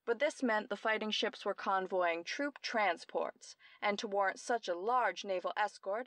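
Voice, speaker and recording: A brilliant, self-assured young woman detective played by an actress in an old-time radio mystery. She explains each clue precisely and theatrically, in a mid-pitched voice. The sound is close and dry. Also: a bit bright, no echo, lightly acted.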